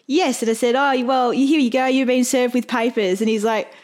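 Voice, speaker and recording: A woman's voice: on the high side, steady tone, moderate pace, darkish tone. A woman with an Australian accent, casually telling work anecdotes as a podcast host. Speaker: podcast host, private investigator.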